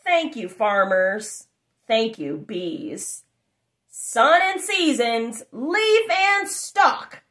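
A young adult woman reading aloud for children in an exuberant, sing-song chanting way with drawn-out words. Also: fairly high pitch, very animated, a bit bright. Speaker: host of a children's read-aloud channel. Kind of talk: animated children's picture-book read-aloud. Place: small furnished room indoors.

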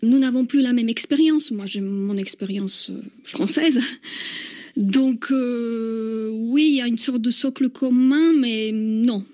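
A woman from Slovakia, speaking French as a second language with a Slovak accent, answering a radio interview thoughtfully, with many restarts and soft laughs. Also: on the high side. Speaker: interviewed novelist.

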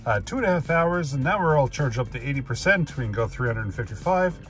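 A man's voice: medium pitch, animated, fast, fairly bright tone, soft background music. A man in his thirties, chatty and enthusiastic, filming himself from inside a parked car with a phone at arm's length. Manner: chatty, enthusiastic, many fillers. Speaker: EV road trip vlogger. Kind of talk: casual vlog talk to camera. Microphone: phone camera at arm's length.

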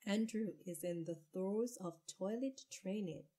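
A woman reading a children's picture book aloud, with deliberate, expressive delivery. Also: medium pitch. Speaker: children's story reader. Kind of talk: read-aloud storybook narration.

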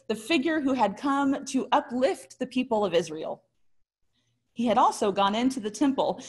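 An American woman in her thirties giving a prepared but conversational sermon as a pastor, with expressive, articulate storytelling. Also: on the high side. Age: 30s. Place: small indoor room.

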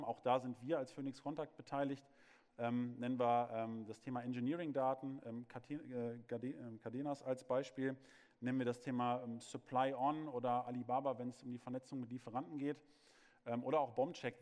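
A man's voice: mid-range voice, a little mellow. Man, 30s, keynote speaker; loose, unscripted delivery with "ähm"s, large reverberant hall. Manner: speaks freely with frequent fillers and restarts. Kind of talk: conference keynote talk. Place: large hall on a conference stage.

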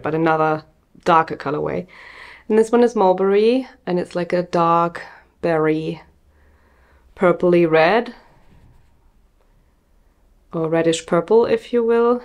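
Chatty woman, slightly sniffly, low-pitched. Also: darkish tone. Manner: chatty, hesitant, many fillers and restarts. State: slightly sniffly from hay fever. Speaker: yarn dyer presenting her collection. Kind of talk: casual video presentation.

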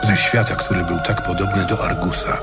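A man's voice: low-pitched, fairly even intonation, fairly quick. A man reading a fantasy audiobook as a dramatic storyteller. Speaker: audiobook narrator. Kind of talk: dramatic scripted narration of a fantasy tale. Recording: music behind the voice.

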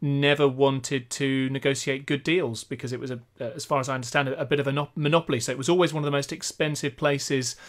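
A man's voice: mid-range voice, steady tone, fast talker, few pauses. A man in his forties with a British accent, explaining things in a casual tech discussion on a studio mic. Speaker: podcast co-host. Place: home office, video call. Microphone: studio condenser mic.

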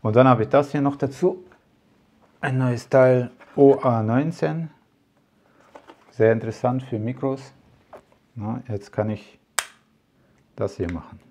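A man's voice: mid-range voice, a little slow, long pauses, warm tone, a little hiss or hum. A man talking casually to camera as a tech vlogger, rambling with many fillers and asides. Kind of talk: casual product talk to camera.

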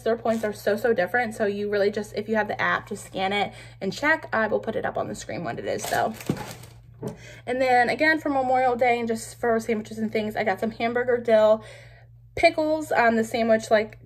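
A young woman vlogging from her home kitchen, chatting upbeat and casually with lots of "um" and "like.". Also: fairly high pitch. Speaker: lifestyle vlogger. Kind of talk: casual grocery haul chat. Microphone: camera on counter, medium distance.

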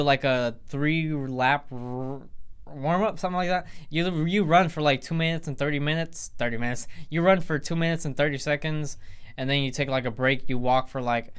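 A man's voice: a little high, smooth. A teenage boy telling a storytime vlog to camera in a casual, rambling way, full of fillers and restarts. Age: teens. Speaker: vlogger. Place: indoors in front of a plain grey backdrop.

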